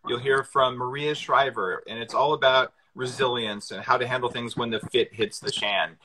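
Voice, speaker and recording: Bright-voiced man in his forties. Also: medium pitch, fairly even intonation, normal speed, a little hiss or hum, poor audio. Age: 40s. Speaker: host. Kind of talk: casual live video chat. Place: indoor kitchen with high ceiling. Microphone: phone front camera at arm's length.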